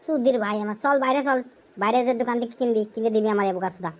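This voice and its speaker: A woman's voice: on the high side, rapid-fire, lightly acted. A woman voicing a nagging, whiny, foul-mouthed friend craving a smoke in a comic cartoon. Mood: whiny, pleading. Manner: foul-mouthed, demanding, pleading.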